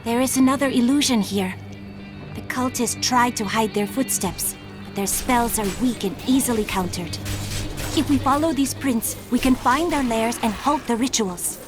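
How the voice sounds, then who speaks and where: An actress voicing a calm, wise young enchantress who speaks in a measured, formal way, in game audio with music behind. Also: female voice, a little high, lightly acted. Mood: composed. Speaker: companion enchantress.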